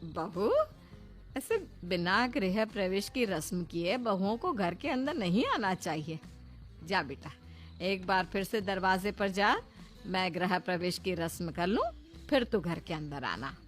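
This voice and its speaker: A woman's voice: fairly low pitch, expressive, unhurried, faint music behind. A woman voicing a doting, naive older mother-in-law in a motherly, soothing manner for a cartoon. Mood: gentle. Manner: soothing, simple-minded, motherly. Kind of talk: cartoon voice acting.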